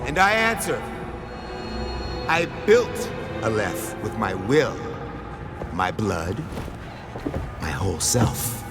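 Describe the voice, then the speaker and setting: An actor playing a menacing, grandiose crime lord in a game cutscene, speaking with pompous, theatrical deliberation. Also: male voice, fairly low pitch, huge pitch swings, slow pace, pauses often, loud music behind the voice, exaggerated voice. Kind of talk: dramatic villain monologue in a game cutscene.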